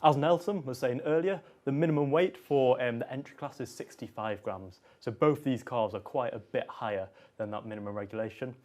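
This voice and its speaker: A man's voice: medium pitch, brisk, few pauses, darkish tone. A man explaining car stats and build quality in an eager, explanatory way, with some restarts. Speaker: technical analyst. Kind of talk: technical commentary.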